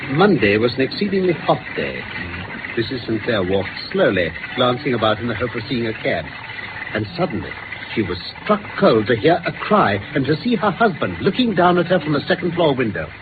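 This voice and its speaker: Actor as confident English detective, precise, animated delivery, vintage radio play, thin band-limited sound, background noise. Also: male voice, medium pitch, natural voice. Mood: precise, storytelling. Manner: brisk, precise, authoritative. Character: brisk, confident gentleman detective. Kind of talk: 1950s radio drama acting. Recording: tinny, noisy old radio recording.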